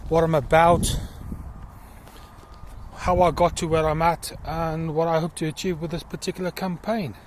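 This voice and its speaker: A middle-aged man with a South African accent, talking earnestly about himself in a personal vlog while out walking in a field, with many pauses and fillers. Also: a little high, little pitch movement, a little hiss or hum. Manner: earnest and reflective, pausing with fillers. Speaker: crowdfunding campaigner. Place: outdoors in a field.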